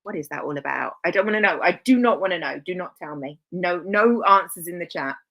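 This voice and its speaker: A woman's voice: lowish voice, animated, brisk, vocal fry, a bit bright. A woman hosting a live stream, talking casually with dry sarcastic asides and rambling self-corrections.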